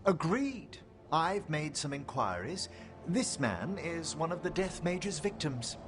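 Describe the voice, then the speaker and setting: An actor playing a refined, earnest scholarly necromancer in a video game, with formal, eloquent and carefully worded speech. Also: male voice, fairly high pitch, big pitch swings, takes it slowly. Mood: earnest, grave. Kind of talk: video game dialogue acting. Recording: music behind the voice.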